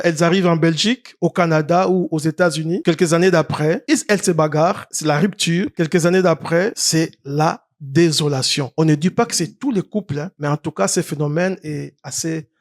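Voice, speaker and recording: A man from Congo-Kinshasa hosting a talk show in a studio, asking dramatic rhetorical questions with lively emphasis. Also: a little high. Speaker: host. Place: studio set.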